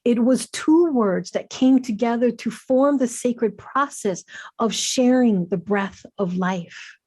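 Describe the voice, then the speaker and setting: A woman's voice: on the high side, slow pace, mellow, husky. A middle-aged woman from Hawaii presenting her doctoral research, telling it as a reflective story and lingering reverently on key words.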